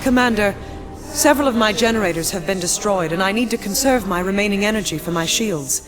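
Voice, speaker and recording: An actress as a regal war-time princess in a sci-fi game, mid-pitched and composed. She speaks in formal, measured phrases with resolute dignity. Very loud music sits behind her. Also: natural voice. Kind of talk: video game mission dialogue.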